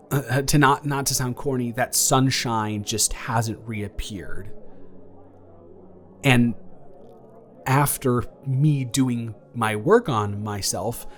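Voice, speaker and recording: A young man playing a nervous, tender ex-boyfriend in an intimate, improvised romantic audio roleplay, speaking earnestly in a halting, rambling way. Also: lowish voice. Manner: halting, rambling, earnest delivery with repeated restarts.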